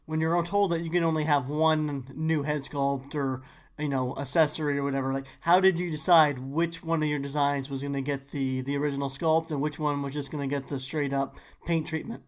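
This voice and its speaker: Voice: mid-range voice, fairly even intonation, fairly quick. A podcast host asking long, easygoing questions, with fillers and restarts. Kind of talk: casual interview chat.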